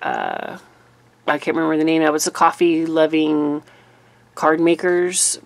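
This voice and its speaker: A woman YouTuber recovering from a cold, her voice deeper than normal, chatting casually through a monthly craft recap at her desk. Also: unhurried, dry recording. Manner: chatty, relaxed, with fillers. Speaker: papercraft YouTuber. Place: desk in a room, overhead camera on a cutting mat.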